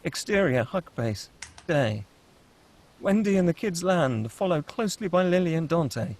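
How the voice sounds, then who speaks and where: A man's voice: fairly high pitch, expressive, unhurried, darkish tone. A man reading scripted screenplay action and scene headings as the narrator of a table read. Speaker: narrator reading stage directions.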